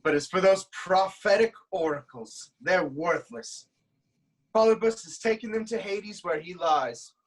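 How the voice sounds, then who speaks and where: A man's voice: sits high, unhurried, poor audio. An actor playing a proud, troubled king haunted by prophecy, in an intense, declamatory reading of Greek tragedy. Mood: shocked, bitter.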